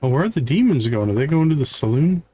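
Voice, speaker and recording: A man's voice: medium pitch, sing-song, fast, dark tone. A man live streaming a video game, giving casual commentary as he thinks aloud and chats to viewers.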